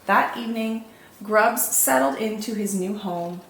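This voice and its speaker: In an echoey room with faint noise behind her, a woman reads a picture book aloud for young children. Her pitch sits in the middle for a woman. She tells the story expressively and deliberately, stretching out words for dramatic emphasis. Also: gravelly, a bit bright. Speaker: children's storytime host reading picture books.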